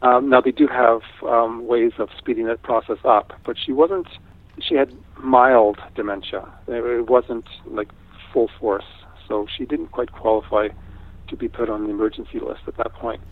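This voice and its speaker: A middle-aged man from Canada, Italian as his first language, talking in a thoughtful, unhurried way with frequent restarts in an interview. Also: mid-range voice, flat delivery. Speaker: guest artist. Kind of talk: casual interview talk. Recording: tinny phone line.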